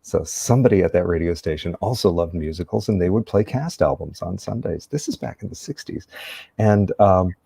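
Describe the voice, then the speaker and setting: A man's voice: low-pitched, expressive, fairly quick, creaky, dark tone, slightly rough, dry recording. An older man from San Diego, a theater actor, chatting as a guest and telling stories in a reflective, rambling way. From San Diego, United States. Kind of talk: casual interview chat, storytelling.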